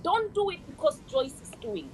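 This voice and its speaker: A middle-aged woman, answering interview questions as a guest, often pausing and restarting as she searches for words. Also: very high-pitched, wildly swooping pitch, a little mellow, a little hiss or hum. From Kenya.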